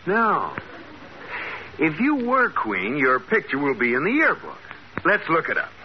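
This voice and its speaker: Firm skeptical husband, very expressive pitch, reproving, old radio sitcom, muffled noisy recording. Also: male voice. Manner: deliberate, reproving. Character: exasperated, firm husband, skeptical of his wife. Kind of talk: 1940s radio situation comedy acting.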